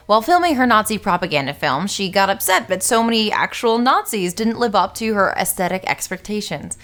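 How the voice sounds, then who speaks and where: A woman's voice: mid-range voice, expressive, brisk, a bit bright. A young woman hosting a scripted film-analysis video essay, narrating with wry, sarcastic asides.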